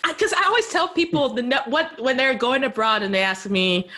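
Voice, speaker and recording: A woman interviewing a guest casually as a podcast host, informal, expressive and playful. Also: high-pitched, brisk.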